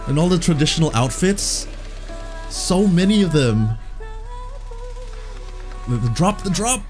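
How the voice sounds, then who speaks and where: Excited man reacting over background music. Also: a little high, measured pace. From Borneo, Malaysia. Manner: enthusiastic, exclaiming in wonder. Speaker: YouTube reaction host. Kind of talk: casual reaction commentary. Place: small home studio room. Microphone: boom-arm studio mic. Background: music playing from the video.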